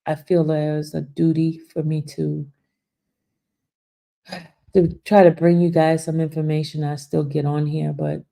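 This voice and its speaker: A woman's voice: deep voice, creaky, dark tone. A woman in her fifties hosting a casual live stream, teasing viewers by name and talking earnestly about her stress. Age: 50s. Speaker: livestream host reading viewer comments.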